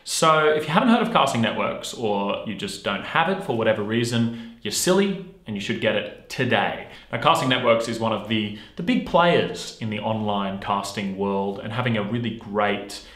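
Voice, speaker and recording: Man, 30s, casual coach read, lowish voice, dry close sound, loose with filler words. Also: animated, normal speed, hardly any pauses, fairly bright tone, no echo. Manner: casual and upbeat, many ums. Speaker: acting coach. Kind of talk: online tutorial, screen walkthrough.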